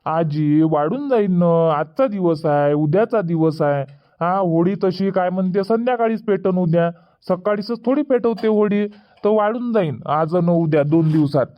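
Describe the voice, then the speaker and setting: A man voicing an impatient, stubborn village boy in a cartoon comedy, whiny and argumentative, with a Varhadi Marathi accent. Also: high-pitched. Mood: pleading, impatient. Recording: dull, muffled lo-fi audio.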